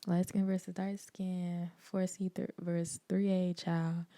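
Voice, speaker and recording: Gravelly, dark-voiced woman podcasting. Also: fairly low pitch, unhurried, dry recording. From Bahamas. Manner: candid, animated, laughs often, slangy asides. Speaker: podcast host. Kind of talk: casual solo podcast talk. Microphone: desk microphone.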